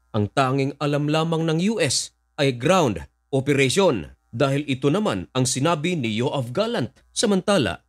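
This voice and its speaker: Filipino male news commentator, slightly high voice, explanatory, speaks straight to his audience. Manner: addresses listeners directly, explanatory. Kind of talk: scripted news narration with commentary.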